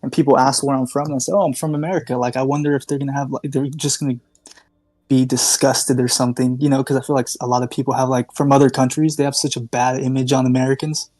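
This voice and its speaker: A man's voice: mid-range voice, little pitch movement, fast talker, a little mellow, no room sound. A man calling in to ask questions, hesitant and often answering "I see". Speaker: viewer asking questions on call. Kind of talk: casual chat.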